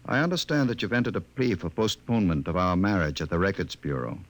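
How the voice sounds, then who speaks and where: An actor playing a cold, menacing director of a totalitarian state in a 1950s radio drama, speaking formally and with measured authority. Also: male voice, low-pitched, expressive. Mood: coolly coercive.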